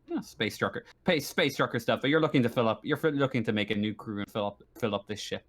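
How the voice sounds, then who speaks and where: A man's voice: medium pitch, fast, a bit bright. A man running a tabletop game as game master, improvising his narration and often searching for his words.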